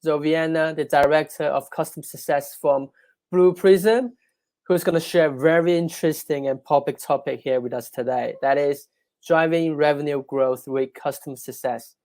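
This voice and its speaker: A man's voice: a little high, fairly even intonation, normal speed, darkish tone. A man hosting an online conference, introducing speakers in an upbeat, announcer-like way. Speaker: event host.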